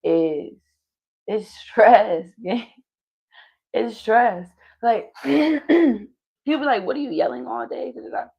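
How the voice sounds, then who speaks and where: A woman's voice: a little high, expressive, slow, vocal fry, mellow, husky, highs cut off. A woman hosting a live stream and chatting casually as a singer, loose and slangy with lots of swearing. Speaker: streamer host.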